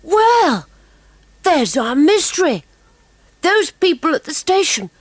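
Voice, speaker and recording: A woman voicing an excitable young English schoolboy keen on mysteries, in a dramatised children's audiobook. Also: extremely high pitch, takes it slowly, no echo, full character voice. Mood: excited.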